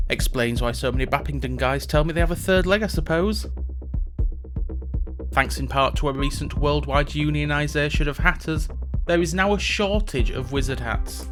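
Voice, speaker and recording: A man voicing a grudging mage host in a comic fantasy audio show, his voice sitting a little high for a man. He drops sarcastic, deadpan asides as he reports the realm's news. Soft music plays under him. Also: lightly acted. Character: reluctant sardonic male mage tourism host. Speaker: podcast host. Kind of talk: scripted comedic narration.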